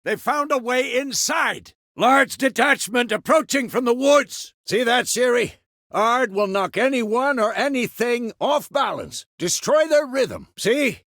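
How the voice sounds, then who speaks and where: Gruff old monster hunter mentor for a fantasy game, gravelly, swings a lot in pitch, super dry close recording. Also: male voice, very animated, dry recording, exaggerated voice. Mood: commanding, shouting. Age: older. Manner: gruff, measured, instructive. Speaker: video game voice actor. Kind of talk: video game character dialogue.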